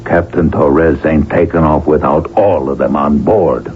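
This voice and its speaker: A man's voice: rumbling low, steady tone, a little slow. An actor playing a tough, pragmatic gang gunman in a 1970s radio drama, talking in folksy, ungrammatical, laconic slang. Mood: defiant. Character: tough, pragmatic male gang gunman, cool and hard-bitten. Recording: tinny old radio drama with hiss.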